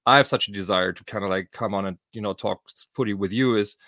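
A man's voice: fairly low pitch, fast talker, a bit bright, dry recording, studio quality. A middle-aged man from Germany, a native German speaker with a German accent, co-hosting a podcast in casual, loose, colloquial chat. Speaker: podcast co-host.

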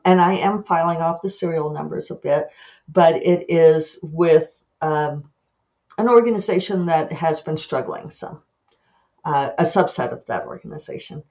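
A woman hosting her own podcast, chatting casually and animatedly with quick asides and self-mocking laughs. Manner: lively pitch jumps when acting out her own thoughts. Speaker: podcast host and author. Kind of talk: casual monologue.

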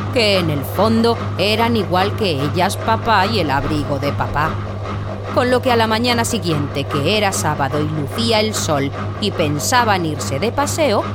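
A woman narrator telling a children's audio story in a scripted storytelling style. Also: medium pitch.

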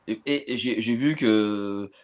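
A man's voice: fairly low pitch, slow. A man interviewing a guest, asking questions with hesitations and repeated words. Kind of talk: interview conversation.

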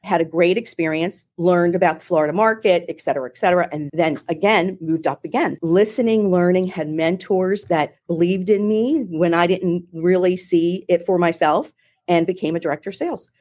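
Middle-aged woman, Philadelphia accent, low-ish pitch, animated chatty storyteller, interview guest on a call. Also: moderate pace. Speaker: marketing executive, guest. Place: home or office in Ohio, remote call.

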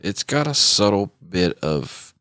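A man's voice: low-pitched, big pitch swings, slow, bright, forceful tone, no room sound, clean studio sound. An American man hosting a podcast, chatting casually about music in a laid-back, slangy way. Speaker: podcast host.

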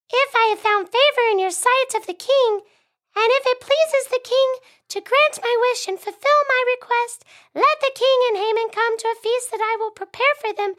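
A woman playing a young queen in a school radio skit, in a high earnest voice. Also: fairly bright tone.

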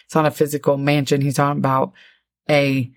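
A middle-aged woman with a low, deep voice talks through a Bible word study at an easy pace. She lingers on definitions as she explains them. Her voice sounds close and dry, with no room echo. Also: monotone. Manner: warm, explanatory, reads definitions slowly. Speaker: podcast co-host, Bible teacher.

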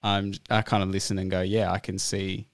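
An Australian man hosting a podcast, talking in a relaxed, thoughtful way with plenty of fillers. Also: low-pitched, brisk, no echo, studio quality. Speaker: podcast host. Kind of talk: casual interview chat.

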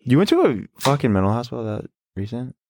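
A young man in his twenties with very lively, swooping intonation. He banters casually and crudely, swearing and joking as he goes, at an ordinary male pitch. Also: fast, slight background noise. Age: 20s. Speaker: podcast host. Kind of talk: casual banter, vlog chat.

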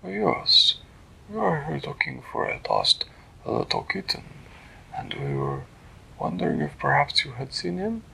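A man voicing a polite, formal storybook creature, speaking courteously in a raised, breathy voice. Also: on the high side, wildly swooping pitch, measured pace, bright, forceful tone, pitched above the performer's own voice, exaggerated voice, low-quality recording.

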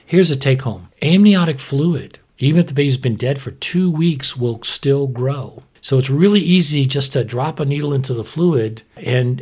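A man's voice: medium pitch, a little mellow, husky. A man giving a conversational medical explanation as an expert, plain-spoken and didactic, often posing questions and answering them himself. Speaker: physician expert explaining to a co-host.

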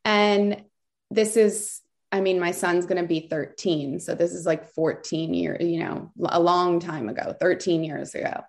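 A woman's voice: lowish voice, fairly even intonation, measured pace. A woman from Southern California, a beauty store founder, telling her story in a chatty, rambling way with many fillers and restarts. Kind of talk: casual interview storytelling.

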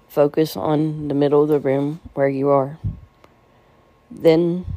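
A woman leading a guided meditation in a gentle, soothing way, with unhurried phrasing and pauses. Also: deep voice, dry recording.

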